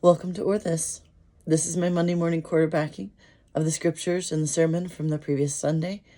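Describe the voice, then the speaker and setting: A pastor with an American accent, hoarse and losing their voice from a cold, reflecting on scripture in an unhurried, thoughtful way. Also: medium pitch, flat delivery, warm tone. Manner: slow, thoughtful, with fillers. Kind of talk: casual sermon reflection.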